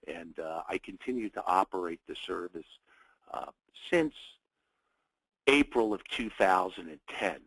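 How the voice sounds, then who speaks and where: Man, webinar host, low voice, raspy, nasal from a cold, dry close sound, friendly, halting. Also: lively intonation, fairly bright tone, no echo, rough recording. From United States. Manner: friendly, welcoming, speaks in short phrases with fillers. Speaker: webinar host, trading educator. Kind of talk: live webinar presentation.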